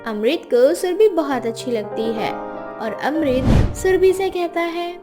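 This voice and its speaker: Female storyteller: high voice, scripted narration, clear music bed. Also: animated, slow pace. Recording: music behind the voice.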